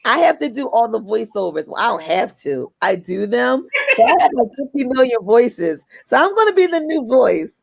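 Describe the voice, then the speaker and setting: A woman co-hosting a casual chat, playful and excitable, slipping into put-on voices. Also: fairly high pitch, moderate pace, creaky, no echo.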